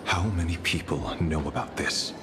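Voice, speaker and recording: An actor playing a calm, calculating rebel leader in video game story dialogue, speaking in a measured, knowing way over music. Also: male voice, deep voice, lightly acted. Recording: loud music under the voice.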